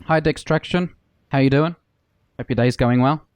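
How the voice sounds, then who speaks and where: A man's voice: mid-range voice, normal speed, clean tone, dry recording. A man who streams games, chatting casually and greeting viewers and friends over background music. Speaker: game streamer hosting a multiplayer session. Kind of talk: casual livestream chat.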